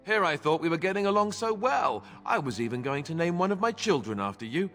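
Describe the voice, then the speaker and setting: An actor voicing a wry, glib young warrior in a fantasy video game, full of sarcasm. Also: male voice, on the high side, lively intonation, fairly quick, a bit bright, big-room sound, soft background music. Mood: sarcastic. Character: wry, joking young warrior, former templar.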